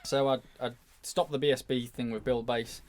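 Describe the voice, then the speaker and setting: A man's voice: mid-range voice, steady tone, normal speed. Casual male racer telling stories. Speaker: motorcycle racer, podcast guest. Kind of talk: casual anecdotal storytelling.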